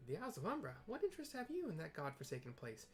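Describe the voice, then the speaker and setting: A man's voice: fairly high pitch, huge pitch swings, rapid-fire, voice pushed up. A man voicing a grim jail guard in a fantasy video game, with an ominous, theatrical manner. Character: grim jail guard warning of deadly haunted isles.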